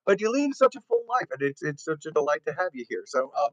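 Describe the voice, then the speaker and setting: A man's voice: a little high, very animated, fast, no room sound, dull audio. A man hosting an interview, asking questions in an effusive, complimentary way. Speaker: show host, interviewer.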